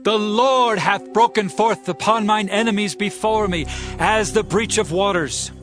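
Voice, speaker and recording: A man's voice: high voice, unhurried, fairly bright tone, soft background music. An actor playing a stern, righteous king of ancient Israel in a dramatized scripture audiobook, solemn and emphatic. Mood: triumphant.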